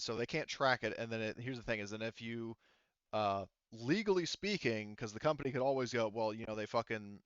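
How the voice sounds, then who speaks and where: A man working as game master, explaining tabletop rules casually in a wordy, halting way that often doubles back on itself. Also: fairly low pitch, fairly bright tone, clear, resonant tone, dry recording, dull audio. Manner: halting, self-interrupting, wordy.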